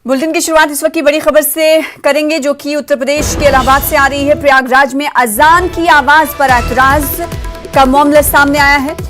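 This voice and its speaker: Indian lady anchoring tv news, high voice, music playing clearly behind her. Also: fairly even intonation, moderate pace, a bit bright. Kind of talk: Urdu news bulletin presenting. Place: broadcast news studio.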